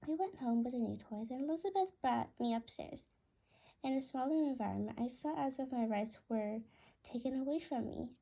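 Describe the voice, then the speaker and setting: A woman narrates a storybook aloud in a high voice with plenty of creak. Her tone is soft and mellow, with a husky, breathy edge. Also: normal speed, poor audio. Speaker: author reading own book aloud. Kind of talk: scripted storybook narration in first person.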